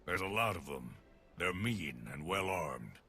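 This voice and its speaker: An actor playing a gruff, wry ex-foreman turned militia fighter in a video game, blunt and gravelly. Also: low voice, big pitch swings, unhurried, sharp tone, soft background music, full character voice. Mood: gruff, resolute. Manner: blunt, sardonic, plain-spoken. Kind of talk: video game cutscene acting.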